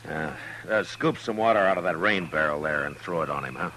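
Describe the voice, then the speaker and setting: An actor playing a firm, weary American frontier marshal, terse and authoritative, in old-time radio western style. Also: male voice, sits low, fairly quick, bright, forceful tone. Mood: businesslike. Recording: noisy, humming vintage radio recording.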